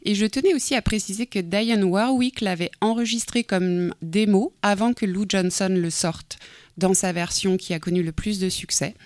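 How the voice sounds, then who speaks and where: A woman co-hosting a radio music show, chatting in a relaxed way and introducing records. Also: medium pitch, moderate pace, no echo, studio quality. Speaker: radio co-host. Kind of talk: casual music chat.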